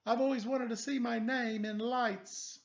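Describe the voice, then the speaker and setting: Man voicing high-pitched, indignant cartoonish letters. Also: fairly even intonation, a little slow. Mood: alarmed, pleading. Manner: crying out, yelling. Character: indignant, alarmed capital letters in a detective story. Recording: dull lo-fi sound.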